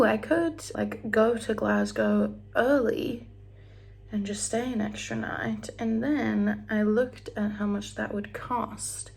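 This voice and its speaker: Chatty Australian woman with a gravelly edge. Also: on the high side, a little mellow. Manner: chatty, rambling, sings snatches of songs, drops into whispers. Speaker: beauty vlogger. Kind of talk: casual get-ready-with-me chat.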